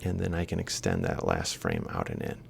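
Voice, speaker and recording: A man giving an informal, step-by-step lecture, explaining haltingly as he works through examples. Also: very deep voice, sing-song, gravelly, slight background noise. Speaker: university robotics lecturer. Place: screen-recorded lecture voice-over.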